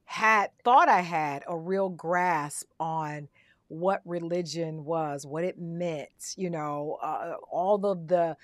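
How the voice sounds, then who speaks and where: A woman's voice: low voice, slow, no echo, studio quality. A woman talking in an outspoken, playful way in a casual chat.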